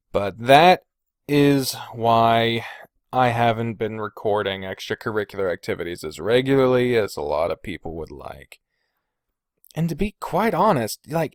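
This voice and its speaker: A man's voice: medium pitch, no echo, clean studio sound. A man talking casually as a podcast host, candid and rambling, hesitating and qualifying himself, with casual swearing. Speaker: podcast host and video creator.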